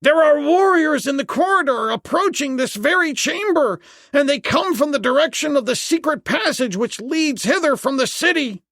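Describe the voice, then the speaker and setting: A man's voice: very high voice, expressive, moderate pace, a bit bright, dry recording, clean studio sound, voice pushed up, exaggerated voice. A man voicing a frightened priest in an audiobook adventure, urgently pleading with his master. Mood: panicked. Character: frightened male priest, panicked, urgent servant.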